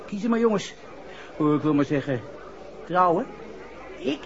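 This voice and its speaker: An actor playing a brash, cocky young playboy with slangy, boastful talk in a 1960s radio drama. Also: male voice, on the high side, animated, normal speed, a faint crowd, a little hiss or hum. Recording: muffled vintage radio recording, music behind.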